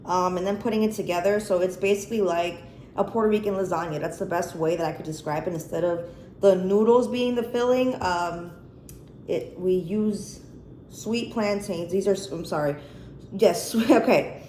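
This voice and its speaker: A woman's voice: on the low side, normal speed, mellow. An American woman hosting a cooking vlog, chatting casually and informally to camera with plenty of swearing. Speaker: cooking and chat vlog host. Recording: echoey room, faint background noise.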